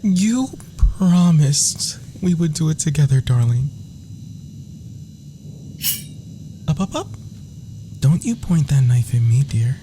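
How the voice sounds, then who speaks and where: A flirtatious, gleefully wicked villain swoops from melodrama down to purring whispers. The tone is mellow and unhurried, doting and theatrical, an ASMR roleplay with faint ambience behind. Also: male voice, on the high side, lively intonation, slight background noise.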